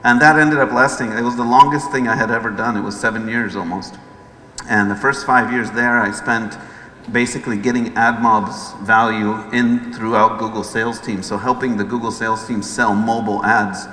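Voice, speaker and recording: The middle-aged American guest on a conference stage, low-voiced, telling his story while the room hums faintly around him. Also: fairly even intonation, moderate pace, pushed and bright. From Los Angeles, United States. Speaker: guest speaker, chief executive. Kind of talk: conversational talk recounting own career. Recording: echoey hall with background noise.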